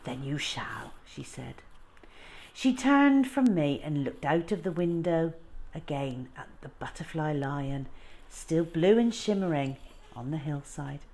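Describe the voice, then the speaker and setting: A woman reading a children's novel aloud as an expressive, dramatic storyteller with measured delivery. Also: sits low. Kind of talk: expressive scripted story narration.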